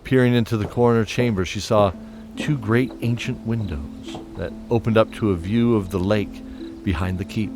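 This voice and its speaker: A male narrator with a low voice tells a fantasy tale. His scripted delivery is measured, with dramatic pauses. Music plays clearly underneath.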